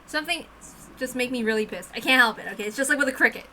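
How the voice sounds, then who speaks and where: A woman reading a visual novel aloud in an expressive, informal way, dramatic in narration and casual in asides. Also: sits high, rapid-fire, slight background noise.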